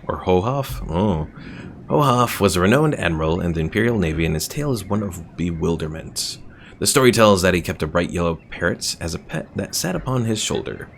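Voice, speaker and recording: Deep, gravelly gaming streamer. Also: male voice, slight background noise. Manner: rambling, reads chat and lore aloud. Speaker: streamer playing a strategy game. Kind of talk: casual gameplay commentary.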